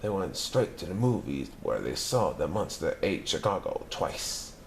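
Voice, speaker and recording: A man reading a picture book aloud with lively, expressive delivery. His voice is very low and gravelly, and it swings widely in pitch. A faint hiss sits under the recording. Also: measured pace. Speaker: narrator. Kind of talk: children's book read-aloud.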